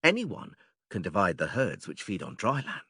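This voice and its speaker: A patient philosopher with animated intonation. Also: male voice, fairly low pitch, husky, no echo, clean studio sound, heavily acted. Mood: patient, questioning. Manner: measured, didactic, formal, questioning. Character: male philosopher stranger, patient, methodical, leading an argument. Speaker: audiobook actor reading philosophical dialogue. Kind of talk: dramatised classical dialogue reading.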